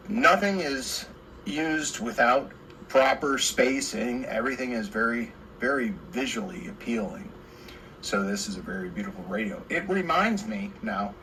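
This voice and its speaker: A man's voice: medium pitch, pushed and bright, rough recording. Man, radio technician, casual repair talk, repetitive, enthusiastic, faint noise behind. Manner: rambling, repetitive, enthusiastic about the equipment. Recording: clear background noise.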